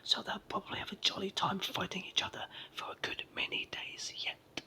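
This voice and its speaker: A storyteller voicing a cheerful, polite little male brownie from a children's book in a raspy whisper. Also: medium pitch, monotone, normal speed, sharp tone.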